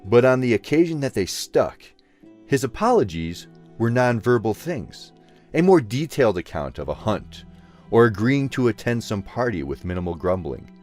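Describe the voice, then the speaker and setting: A man's voice: mid-range voice, big pitch swings, moderate pace, a little mellow, faint music behind. A man narrating a scripted story as the narrator of an audio drama.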